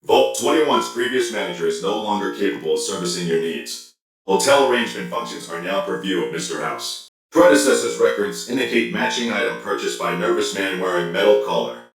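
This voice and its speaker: An officious security robot from a video game, formal and clipped. The voice is impersonal and roomy, set a little back from the mic, with loud guitar music playing. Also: male voice, sits low, a little fast, distant mic. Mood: impersonal, procedural. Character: stern, officious security robot reciting orders. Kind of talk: video game character lines.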